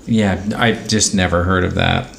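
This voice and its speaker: A man's voice: low-pitched, fairly even intonation, a little slow, gravelly, a bit bright, slight background noise. A man co-hosting a podcast in casual chat, chiming in with short asides and questions. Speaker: podcast co-host.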